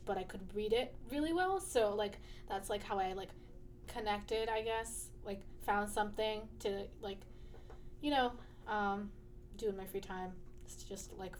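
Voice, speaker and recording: A woman's voice: medium pitch, slight background noise. Chatty young woman, filler-heavy. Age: young adult. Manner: chatty, full of "like" fillers, laughs easily. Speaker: student guest. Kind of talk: casual interview chat.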